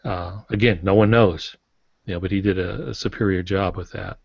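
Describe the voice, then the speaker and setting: A man's voice: sits low, fairly even intonation, moderate pace, gravelly, a little mellow, husky. A man speaking as a guest author on a podcast, explaining things conversationally in an informal, folksy, opinionated way. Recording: roomy, dull lo-fi podcast audio.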